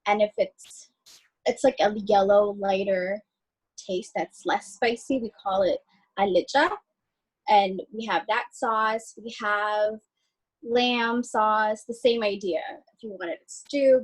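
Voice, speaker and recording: A woman's voice: mid-range voice, steady tone, unhurried, breathy edge, poor audio. A young woman from Ethiopia, chatting as a guest over a video call in a friendly, loose way with many fillers. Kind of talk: casual video call chat.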